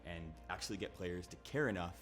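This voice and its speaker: Male developer, deep voice, documentary interview delivery with faint music behind. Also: brisk, fairly bright tone.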